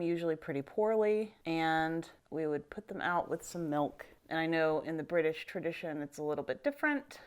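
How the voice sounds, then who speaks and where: A woman in her thirties with a low voice, chatting casually while doing her makeup for a vlog, upbeat and self-deprecating. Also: darkish tone, clear, resonant tone. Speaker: vlogger. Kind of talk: casual get-ready-with-me vlog.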